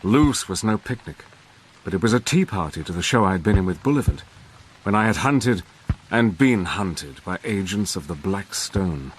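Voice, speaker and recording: An actor playing a resolute army major who narrates and speaks in a brisk, soldierly way. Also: male voice, low voice, lively intonation, slight background noise, low-quality recording. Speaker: narrator and lead. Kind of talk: first-person dramatic narration.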